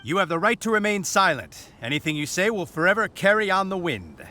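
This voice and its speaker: A man's voice: high-pitched, big pitch swings, a little fast, pushed and bright, soft background music, full character voice. A man voicing a stiff, officious knight in a game, speaking in a formal, by-the-book way.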